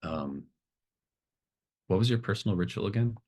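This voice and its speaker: A man's voice: lowish voice, expressive, moderate pace, a little mellow. A man running a tabletop roleplaying game as game master, talking casually and explaining things in an encouraging way.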